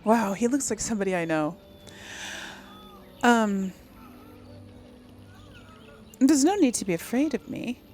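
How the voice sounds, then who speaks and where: A woman's voice: on the high side, expressive, a little slow, long pauses, a little mellow. A woman hosting a casual game role-play, musing aloud and playfully voicing her own dialogue choices. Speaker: gaming video host. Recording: faint background noise.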